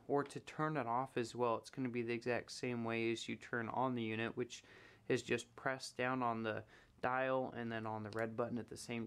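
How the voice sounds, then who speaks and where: A man's voice: medium pitch, smooth. A man giving a casual product review, explaining things step by step in a plain, matter-of-fact way.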